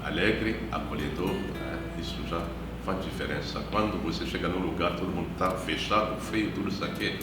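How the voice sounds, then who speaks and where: A man in his fifties speaks as a missionary priest, telling his story in a measured, reflective way. His voice sits very low. It rings through a strongly echoing room, with guitar music playing clearly behind him. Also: recorded from a distance. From Democratic Republic of the Congo. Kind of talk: personal testimony in an interview.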